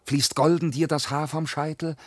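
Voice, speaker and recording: An actor playing a reckless, boastful young peasant rogue, brashly declaiming in a radio verse drama. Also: male voice, on the high side, flat delivery, normal speed, lightly acted. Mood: scornful, impatient.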